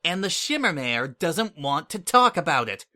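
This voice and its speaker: A man voicing a tired, guarded young female unicorn in an audiobook-style reading, with a slightly raised pitch and a defensive edge. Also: big pitch swings, normal speed, pushed and bright, full character voice. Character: weary, defensive young mare unicorn on the run.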